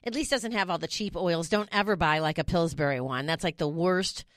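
A woman's voice: lowish voice, brisk, no echo, clean studio sound. A woman hosting a radio talk show, chatting casually and jokingly, often trailing off and restarting mid-sentence. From Italian heritage. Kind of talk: casual studio chat.

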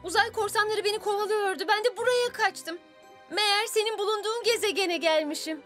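A woman's voice: very high-pitched, a little fast, a bit bright. A woman voicing an anxious young alien princess on the run in a children's cartoon. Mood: relieved, friendly. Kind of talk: dubbed children's cartoon acting. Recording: music and crowd noise behind.

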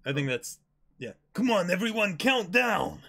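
A man's voice: high-pitched, sing-song, unhurried, creaky, fairly bright tone. A man in his twenties, playful and exclamatory, doing a dramatic reading with casual banter as a co-host. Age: 20s. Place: indoor room, seated.

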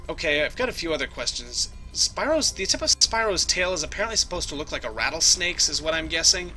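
Goofy, bright-voiced man playing a game. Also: on the high side. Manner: goofy, jokey, talks to the game characters. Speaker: let's play host. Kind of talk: casual gameplay commentary. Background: game music.

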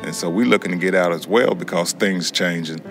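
A man's voice: low voice, a little fast. A middle-aged American man talks earnestly and colloquially in an interview as a student. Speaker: prison education student. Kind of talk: candid interview talk. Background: acoustic guitar music.

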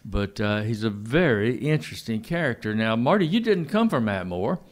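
A man hosting a local radio interview show, talking casually and haltingly with frequent pauses. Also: mid-range voice, big pitch swings. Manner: rambling, halting with fillers.

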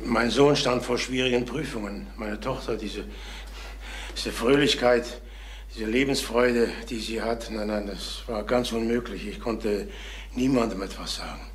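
A middle-aged actor playing a troubled travelling salesman in a crime radio play, halting, repeating himself and on the defensive. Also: mid-range voice, steady tone, close to an everyday voice. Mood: downcast. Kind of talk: German crime radio drama acting. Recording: noisy vintage radio drama recording.

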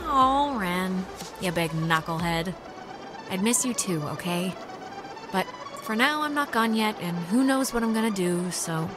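A woman's voice: mid-range voice, animated, measured pace, natural voice. A woman voicing a skeptical, sardonic teenage girl in naturalistic video game dialogue, casual, dry and pointed. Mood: fond. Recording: game music under the voice.

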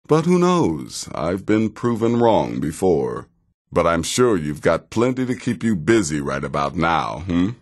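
A cynical male assassin from a fantasy video game, speaks in a very deep voice. He takes his time, deliberate and dry. His deadpan delivery still swings widely in pitch, giving the sardonic wit a lively contour. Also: full character voice. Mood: wry, impressed. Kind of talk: video game character dialogue.

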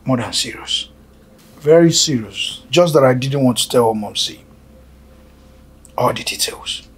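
A man's voice: mid-range voice, expressive, a little slow. An actor with a Nigerian accent playing a troubled young man who talks in a subdued, serious way. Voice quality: husky. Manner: subdued, serious, half-whispered.

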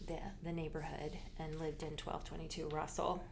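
A woman with a notably low voice presents a slideshow as a library genealogist, explaining each slide in an unhurried, informative way. She pauses often and restarts some of her phrases. A faint hum of ambient noise sits under her voice. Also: level tone, vocal fry.

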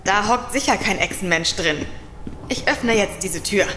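A woman's voice: medium pitch, animated, unhurried, very noisy, lightly acted. An actress as a coy, self-assured young woman. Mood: confident, chatty. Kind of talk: German audio drama acting.

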